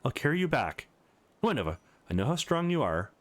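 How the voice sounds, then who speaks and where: A man's voice: on the high side, sing-song, fairly quick, pitched above the performer's own voice. A man voicing a caring, earnest young male student hero in a visual novel, with a reassuring manner.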